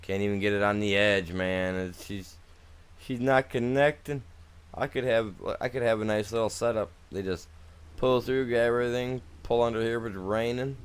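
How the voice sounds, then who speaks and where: A man's voice: fairly low pitch, moderate pace, clear, resonant tone. A young man streaming a farming game, chatting casually with his viewers and rambling as he plays, often trailing off and starting sentences over. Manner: laid-back, rambling, talks to chat, trails off and restarts. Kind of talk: casual live gameplay chat.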